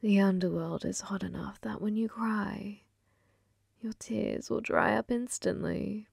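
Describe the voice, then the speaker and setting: A woman voicing a flirty, possessive female demon gambler in an ASMR roleplay, breathy and often whispering, teasing with sweet menace. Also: mid-range voice, steady tone, darkish tone, no room sound, studio quality, exaggerated voice. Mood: gloating, tender. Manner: slow, teasing, calls listener love. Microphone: close microphone, dry.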